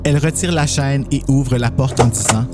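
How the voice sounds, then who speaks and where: A man's voice: mid-range voice, normal speed, over loud music. A man narrating a scripted horror story as a podcast host.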